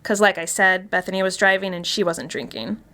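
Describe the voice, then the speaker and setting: A woman's voice: medium pitch, steady tone, fairly quick, pushed and bright, natural voice. An actress playing a wry, chatty woman past thirty, telling her story as a confiding first-person monologue. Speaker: actor reading a short story.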